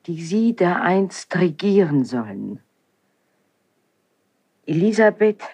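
A woman's voice: lowish voice, slightly rough, no echo, exaggerated voice. An actress playing a dignified, fervent young queen with a solemn, declamatory delivery in a classical radio drama. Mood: pleading.

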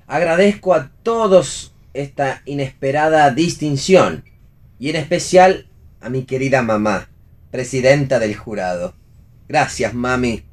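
Man as easygoing wry fellow, Argentine accent, medium pitch, radio play dialogue. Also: a little slow, lightly acted. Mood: mock solemn. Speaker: actor in a read radio play. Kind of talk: read radio theatre dialogue.

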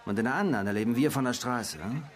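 An actor playing a wary, grumbling street hustler and reluctant informant in a radio crime play, slangy and evasive. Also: male voice, mid-range voice, fast talker, a little hiss or hum, lightly acted. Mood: sardonic. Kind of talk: naturalistic German radio play dialogue.

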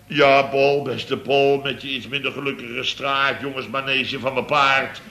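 An actor playing a blustering, sarcastic boss of a building firm in a radio comedy, sputtering with theatrical exasperation. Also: male voice, mid-range voice, fairly even intonation, a little slow, creaky, sharp tone. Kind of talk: radio comedy serial acting.

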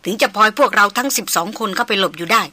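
A woman's voice: medium pitch, racing, pushed and bright, dry recording. A woman voicing a seasoned male big-game hunter who gives firm commands. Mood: stern.

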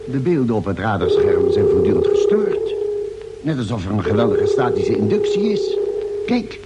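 A man's voice: lowish voice, very animated, moderate pace, dull audio. A man acting a worried, sceptical spaceship doctor who explains things hesitantly in a radio play. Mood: puzzled, uneasy. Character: worried male ship's doctor at a radar screen, sceptical. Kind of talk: science fiction radio drama acting. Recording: very noisy old radio play recording.

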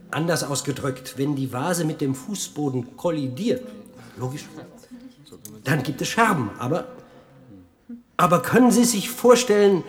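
A man acting a haunted physicist in a German radio play, speaking in an introspective, halting and at times declamatory manner. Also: on the high side, natural voice. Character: troubled male physicist, haunted and brooding, lecturing on particles.

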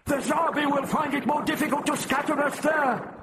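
A man's voice: high voice, animated, moderate pace, very noisy, pitched above the performer's own voice. A man voicing a valiant old alien rebel leader in a storybook reading, urgent and commanding. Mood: rallying.

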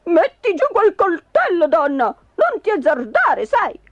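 A woman's voice: high voice, very animated, unhurried, dull audio, noisy background, heavily acted. A woman voicing a grumpy, irritable husband in a storybook tale, grumbling, blustering and plaintive. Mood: wailing, indignant. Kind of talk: theatrical storybook character voice.

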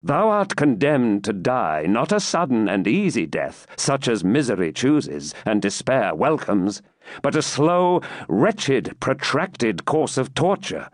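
A man's voice: mid-range voice, sing-song, measured pace, dry recording, clean studio sound. A man voicing a proud, brooding medieval knight in an audiobook, with grave, formal, archaic declamation. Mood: stern. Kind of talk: dramatised audiobook reading.

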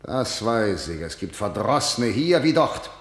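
A man's voice: on the low side, very animated, unhurried. An actor playing a harsh male tyrant in a classical verse radio play, declaiming commands and threats. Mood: threatening. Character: harsh, stern male tyrant ruling a city. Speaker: ruler of the city. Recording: roomy hall reverb.

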